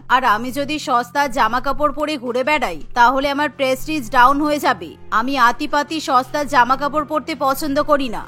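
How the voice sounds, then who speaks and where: A vain, spoiled mother-in-law from a cartoon, voiced by a woman, high and bright. She talks down to everyone, haughty and dismissive. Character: vain spoiled middle-aged mother-in-law who loves shopping.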